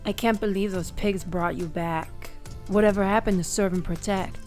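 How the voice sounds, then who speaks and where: A woman's voice: medium pitch, steady tone, normal speed, darkish tone, faint music behind, natural voice. An actress playing a brave, protective young captive woman in a radio play, defiant and sarcastic. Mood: brave, indignant. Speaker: radio drama actor.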